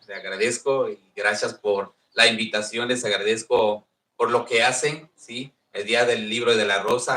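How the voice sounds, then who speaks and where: A man's voice: mid-range voice, sharp tone. Earnest Mexican man, roomy distant sound. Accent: Mexican Spanish. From Veracruz, Mexico. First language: Nahuatl. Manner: earnest, repeats himself, proud. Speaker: Nahuatl teacher and contest winner. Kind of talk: formal speech at a panel. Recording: echoey room, distant mic.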